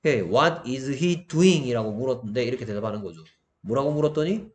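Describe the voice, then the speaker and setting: A man's voice: medium pitch, animated, fairly quick. Man teaching a grammar lesson. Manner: frequent check-in questions. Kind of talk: explanatory lesson with questions to students.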